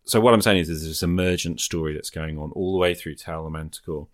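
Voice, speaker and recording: A man's voice: sits low, fast talker, no room sound, clean studio sound. A man talking casually and reflectively, explaining his thoughts as he works them out in conversation. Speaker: podcast host. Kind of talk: casual discussion of solo role-playing games.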